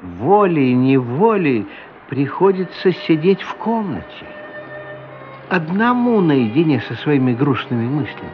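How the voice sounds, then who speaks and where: Guy narrating a radio drama, expressive, lots of pitch movement, highish voice, muffled recording. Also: measured pace, over music. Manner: dramatic, storytelling.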